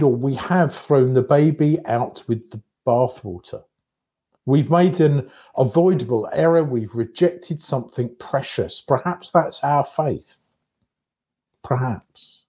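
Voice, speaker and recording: A man giving a reflective sermon in a measured, contemplative way, breaking his thoughts into short phrases. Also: mid-range voice, darkish tone. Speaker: preacher.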